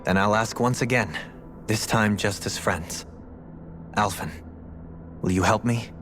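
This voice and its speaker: An actor playing a formal, solemn young former lord in a video game, speaking in a measured, courteous way. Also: male voice, lowish voice, fairly even intonation, lightly acted. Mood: warm, grateful. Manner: formal, measured, tense when asking favors. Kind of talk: dramatic video game cutscene acting. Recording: music playing behind the voice.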